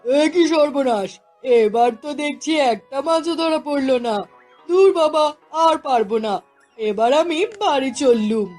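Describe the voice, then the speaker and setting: A woman's voice: high-pitched, expressive, a little slow, darkish tone, soft background music. A woman voicing a poor, anxious village fisherman who exclaims and laments in a cartoon fairy tale. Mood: dismayed. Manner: talking to himself, exclaiming and lamenting.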